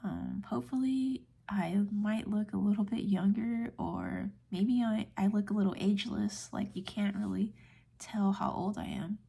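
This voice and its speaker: Woman, late twenties, American accent. Hushed, whispery ASMR delivery with creaky fry and a relaxed tone, gentle and informal. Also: medium pitch, unhurried. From United States. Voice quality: soft, whispery, breathy. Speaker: ASMR video creator. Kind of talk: soft-spoken ASMR rambling.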